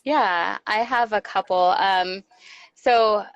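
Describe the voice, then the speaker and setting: A woman's voice: medium pitch, slow pace, lo-fi sound, crowd noise. A woman from Los Angeles with an American accent, a guest teacher patiently explaining English phrases. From Los Angeles, California, USA.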